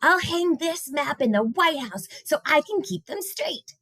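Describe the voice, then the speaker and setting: A woman's voice: high voice, lively intonation, a little slow, fairly bright tone, dry recording, voice pushed up. A woman voicing a confident, self-important young schoolboy with big plans in an earnest picture-book reading. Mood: proud, earnest.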